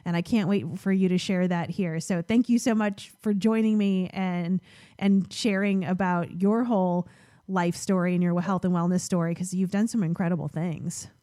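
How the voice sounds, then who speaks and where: A woman's voice: fairly low pitch, fairly even intonation, moderate pace, mellow, dry recording, clean studio sound. Casual, enthusiastic woman podcast host. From Illinois, United States. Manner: informal, enthusiastic. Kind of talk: casual chatty interview.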